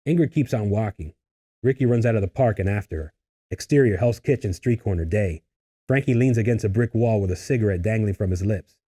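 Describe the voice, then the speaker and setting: A man's voice: on the low side, a little fast, warm tone. A man reading stage directions as scripted narration for a table read.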